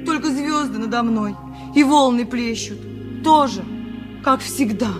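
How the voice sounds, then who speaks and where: An actress playing a devoted, naive maidservant in love with a sailor, speaking in a dreamy, sentimental radio play manner. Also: female voice, a little high, a little slow, over loud music, lightly acted. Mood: wistful, tender. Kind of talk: Soviet radio play acting.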